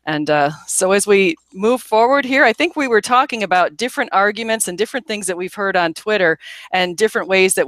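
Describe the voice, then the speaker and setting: A woman's voice: on the low side, fairly bright tone, no room sound. A woman from the United States hosting a casual panel chat, introducing guests and guiding the talk. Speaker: host.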